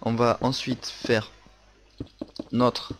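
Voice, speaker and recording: A man's voice: mid-range voice, level tone, drawn-out words. Man with a Marseille accent. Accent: Marseille French. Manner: hesitant, many fillers and restarts. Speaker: Minecraft building tutorial host. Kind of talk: casual tutorial narration. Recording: faint noise and clicks.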